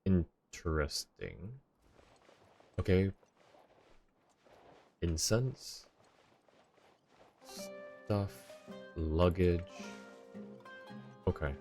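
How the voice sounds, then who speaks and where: Casting: low-pitched man as a wry livestream gamer, off-the-cuff commentary and read-aloud, soft music behind. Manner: wry, rambling asides. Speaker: game streamer. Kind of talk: casual live gameplay commentary, reading dialogue aloud.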